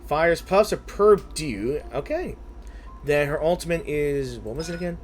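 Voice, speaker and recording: A man's voice: on the high side, animated, measured pace, a little hiss or hum. A man giving casual, reactive let's play commentary, often muttering to himself as he plays. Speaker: gaming streamer.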